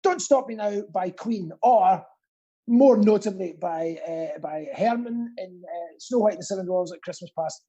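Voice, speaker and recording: A middle-aged man with a mellow, somewhat raspy voice hosts a quiz. He rambles in a camp, playful way and pokes fun at himself as he goes. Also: sits high, moderate pace. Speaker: quiz host. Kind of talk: live online quiz banter.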